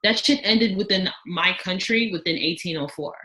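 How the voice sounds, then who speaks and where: A young woman of Haitian background talking casually as a podcast guest, outspoken and animated, acting out the voices she quotes. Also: lowish voice, normal speed, low-quality recording.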